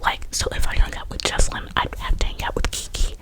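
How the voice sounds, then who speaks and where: Young woman whispering a chatty story. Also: brisk. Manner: soft whisper, chatty with many fillers. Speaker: vlogger.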